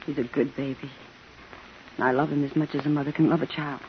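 A woman's voice: low voice, level tone, fast, natural voice. Woman acting anguished young mother, dull old radio. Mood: tender, anxious. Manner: pleading, emotional. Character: frightened, protective young mother, anguished and stubborn. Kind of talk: 1950s radio thriller acting. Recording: dull, faintly noisy 1950s radio broadcast.

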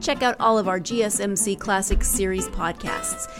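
A woman's voice: mid-range voice, fairly even intonation, normal speed. A woman reading scripted promotional narration as a podcast announcer, with music behind her. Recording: clear music bed behind.

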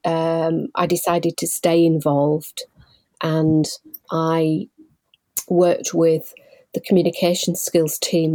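A woman in her forties from Leeds, a guest telling her story in a measured, thoughtful way with frequent pauses. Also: deep voice, mellow, no room sound. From Leeds, England. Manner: measured and thoughtful, pausing often. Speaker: guest, patient involvement manager. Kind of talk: reflective personal storytelling.

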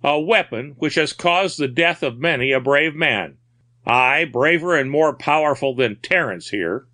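Man voicing a grave old sea captain, raised pitch. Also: lively intonation, measured pace, sharp tone. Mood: solemn. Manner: archaic, folksy seafaring phrasing.

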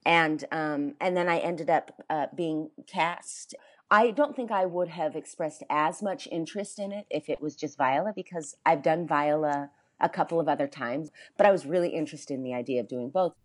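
Stage actress, young-sounding voice, low-ish pitch, reflective interview answers. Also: female voice, normal speed. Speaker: stage actor.

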